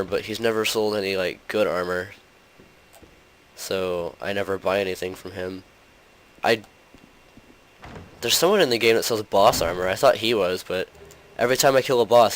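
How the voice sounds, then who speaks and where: A young man with a lowish voice narrates his gameplay in a loose, rambling way, with lots of "like" and "um". His voice is a little rough from a cold. A faint hum of noise sits under the recording. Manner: rambling, heavy on fillers like "like" and "um". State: slightly hoarse from a cold. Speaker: host of a gaming channel. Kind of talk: casual gameplay commentary.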